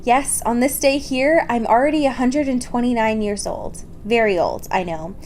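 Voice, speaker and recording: Woman reading as reflective female vampire, wistful fan-fiction narration, slightly high, noisy recording. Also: moderate pace, darkish tone, natural voice.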